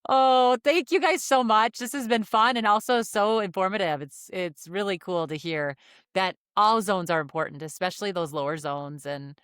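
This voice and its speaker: A woman hosting a podcast, chatty and quick to laugh. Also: mid-range voice, fairly bright tone, smooth, dry recording, studio quality. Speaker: podcast host.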